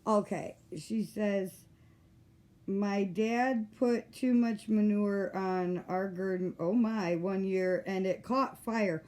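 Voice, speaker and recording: A woman hosts an informal online chat in a middle-pitched voice. She talks in a homespun, conversational way, asking questions and reacting with folksy exclamations. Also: fairly even intonation, slow pace, a little mellow. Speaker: host of a livestream chat. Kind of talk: casual interview chat.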